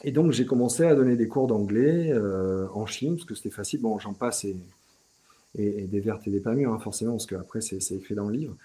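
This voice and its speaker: Mellow-voiced Frenchman, hesitant and chatty. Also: lowish voice, fairly quick. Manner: hesitant, many restarts and "tu vois". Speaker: interview guest. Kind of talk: relaxed conversational interview.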